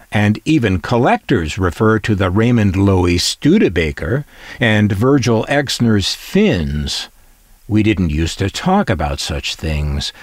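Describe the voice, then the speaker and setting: A middle-aged American man with a low voice that moves freely up and down. He talks like an opinionated collector showing off his things, wry and conversational. The recording is close and dry, with no room around the voice. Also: dry recording. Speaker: collector presenting vintage transistor radios. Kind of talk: conversational video narration.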